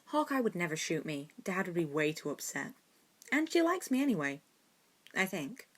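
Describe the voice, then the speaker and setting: A woman's voice: lowish voice, very animated, moderate pace. A woman voicing a stubborn, sarcastic schoolboy wizard with dry retorts in an audiobook-style story. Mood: defensive, casual.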